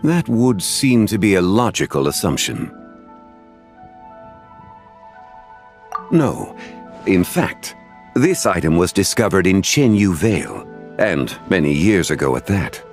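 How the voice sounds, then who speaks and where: A man voicing a composed, scholarly gentleman in a video game, speaking in formal, measured, eloquent phrases. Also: deep voice, sing-song, faint music behind, full character voice. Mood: explaining calmly. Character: composed, courtly, scholarly gentleman connoisseur of antiques. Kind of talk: scripted video game dialogue.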